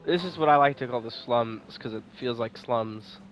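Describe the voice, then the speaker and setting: A young man chats casually through his gameplay. His voice sits at a middle pitch and he rambles informally, with faint music in the background. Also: normal speed, poor audio. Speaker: game streamer.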